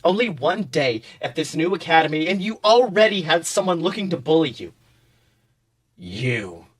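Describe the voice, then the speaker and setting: Male podcast narrator, somewhat high pitch, theatrical second-person storytelling. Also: fairly quick. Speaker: podcast host reading fan fiction.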